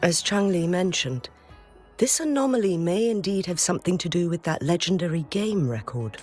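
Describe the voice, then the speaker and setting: An actress playing a dutiful, courteous military commander in a fantasy video game, speaking formally and politely. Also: female voice, lowish voice, animated, moderate pace, a little mellow, close to an everyday voice. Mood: earnest, courteous. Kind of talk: scripted video game dialogue. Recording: music behind the voice.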